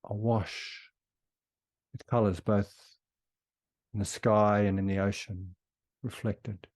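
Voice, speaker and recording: A man leading a guided meditation in a soothing, unhurried way with long pauses between phrases. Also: fairly low pitch, little pitch movement, a little mellow, dry recording.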